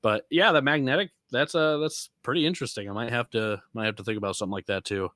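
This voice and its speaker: A man's voice: medium pitch, fast, a bit bright, no echo, clean studio sound. A man chatting casually as a podcast panelist about off-road vehicles, thinking aloud in a rambling way.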